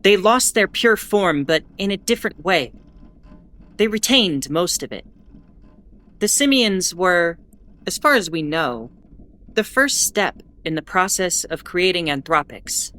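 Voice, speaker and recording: A woman playing a weary, sardonic starship broadcaster in an audio drama, giving history in a deliberate, grudging, pointed way. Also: lowish voice, lively intonation, a bit bright. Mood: grave, explanatory. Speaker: ship's bulletin broadcaster. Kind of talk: in-character educational monologue, audio fiction.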